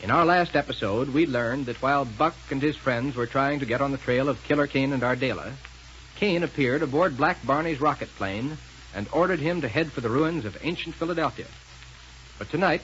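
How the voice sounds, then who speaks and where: A man's voice: medium pitch, a bit bright. A man announcing a 1930s radio show from a script, with folksy showmanship. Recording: muffled 1930s radio recording.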